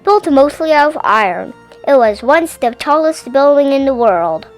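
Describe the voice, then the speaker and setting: A woman voicing a proud, informative tour guide in a measured storybook reading. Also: sits high, very animated, soft background music. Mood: proud informing.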